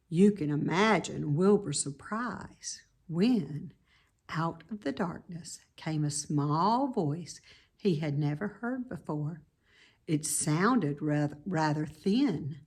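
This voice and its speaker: A woman reading a children's storybook aloud as an expressive narrator. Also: on the low side.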